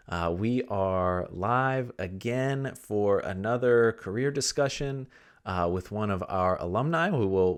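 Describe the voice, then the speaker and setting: A man hosting a live interview over a video call, asking loose, rambling questions with many fillers. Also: on the low side. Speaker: host.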